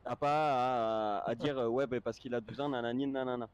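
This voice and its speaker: A man's voice: medium pitch, fairly even intonation, a little fast, clean tone. A man moderating an online roleplay game over voice chat, speaking casually in a firm, admonishing, explanatory way.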